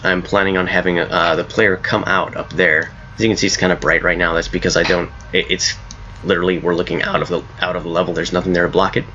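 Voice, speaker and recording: Hoarse low-voiced man showing his game mod. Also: little pitch movement, fast, bright, forceful tone, lo-fi sound, slight background noise. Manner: rambling, self-correcting, laughs at his own ideas. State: hoarse from a cold. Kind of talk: casual gameplay commentary.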